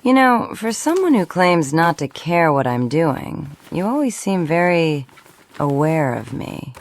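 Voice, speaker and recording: A woman's voice: deep voice, sing-song, a little slow, heavily acted. A woman playing a bold, teasing, defiant rogue in an audio drama, with a wry and challenging manner.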